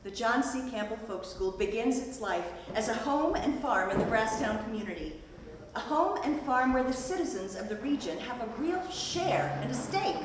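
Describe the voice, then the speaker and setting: A woman playing an earnest founder of a rural folk school in a stage play, narrating in a deliberate, declamatory way. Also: a little high, animated, close to an everyday voice. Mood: proud, earnest. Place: stage performance at a festival. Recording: echoey hall, distant mic, noisy.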